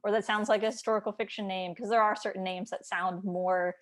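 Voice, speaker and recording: A woman's voice: medium pitch, fairly even intonation, brisk. A woman chatting casually and informally, as a writer hosting a book discussion, with "like" sprinkled through her talk. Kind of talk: casual conversational book chat.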